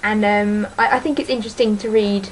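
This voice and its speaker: A woman's voice: mid-range voice, steady tone, brisk, a little hiss or hum, low-quality recording. A teenage girl chatting casually about books in a vlog, rambling and hesitant, full of ums and sort-ofs, self-deprecating. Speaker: book vlogger.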